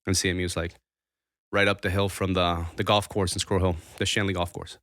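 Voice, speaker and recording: A man's voice: low voice, flat delivery, fast talker, fairly bright tone, dry recording, clean studio sound. A man in his thirties from Argentina, a podcast guest talking casually in a rambling, self-interrupting way. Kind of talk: casual conversation.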